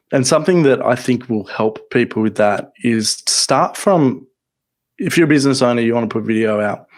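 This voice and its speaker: A soft-spoken man talking in a casual interview, halting often with restarts, speaking close to a microphone. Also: medium pitch. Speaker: podcasting guest.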